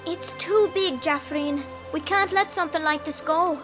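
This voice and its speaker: A woman voicing a small, excitable, squeaky female ranger in a fantasy audio drama. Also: normal speed, over music. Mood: earnest.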